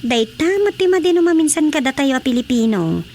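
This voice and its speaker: A woman's voice: high-pitched, sing-song, fairly quick, a little mellow. An actress playing a curious, concerned woman trading news in a radio drama comedy. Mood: opinionated. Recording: background ambience noise.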